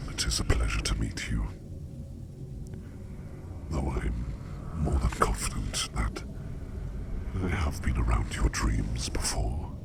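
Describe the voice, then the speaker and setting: A curious, courteous sleep demon played by a man murmurs in an ASMR roleplay. The voice is rock-bottom low and heavily creaky, sinking into breathy whispers. The phrases come slowly, in formal, old-fashioned wording, over clearly audible noise. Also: full character voice. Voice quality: very deep, gravelly, breathy whisper. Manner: slow, measured, formal old-fashioned diction. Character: curious, gentle, formal male sleep demon, dream walker.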